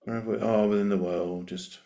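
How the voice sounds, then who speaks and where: Male meditation guide, low register, gentle unhurried delivery with plenty of space between lines. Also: fairly even intonation, creaky. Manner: gentle, unhurried invitations with long pauses.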